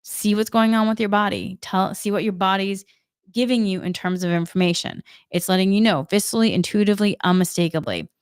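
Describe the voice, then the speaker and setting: Woman with a Boston accent, middle-aged, smooth resonant voice, dry close sound, calm spiritual guidance. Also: mid-range voice, brisk, no room sound. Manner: gentle, repetitive, reflective. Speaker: spiritual energy guide, Reiki practitioner.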